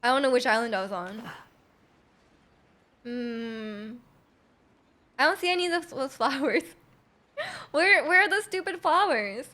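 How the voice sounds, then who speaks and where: A woman's voice: sits high, lively intonation, measured pace, pauses often, pushed and bright. A woman chatting casually with viewers while she plays a game on a live stream, rambling and repeating herself in a giggly, hesitant way. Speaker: game livestreamer. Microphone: close streaming microphone.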